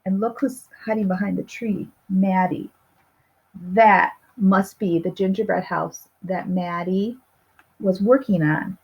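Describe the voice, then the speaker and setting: A woman's voice: mid-range voice, steady tone, takes it slowly, husky, lo-fi sound. A woman reading a picture book aloud to young children, expressively stretching key words and putting questions to her listeners. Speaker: storybook reader.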